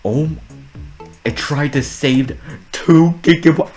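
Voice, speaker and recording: A man's voice: fairly high pitch, takes it slowly, a little mellow. A man giving casual, amused and reactive gaming commentary, talking through what he does as it happens. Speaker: video creator narrating a game modding experiment. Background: background music.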